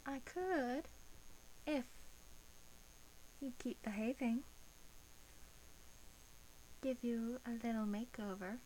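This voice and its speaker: Woman doing a bored vampire guard lady, asmr roleplay, soft highish voice, teasing n a bit menacing, takes her time. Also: low-quality recording. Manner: hesitant, coaxing, drawn-out phrasing with whispered asides. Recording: faint background hiss.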